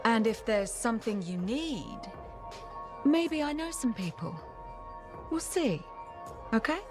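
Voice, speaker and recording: An actress voicing a shrewd, streetwise bar owner with a casual, worldly, clipped manner in a video game. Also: female voice, on the high side, very animated, slow. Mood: matter-of-fact, shrewd. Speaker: video game character actor. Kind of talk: video game dialogue acting. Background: music.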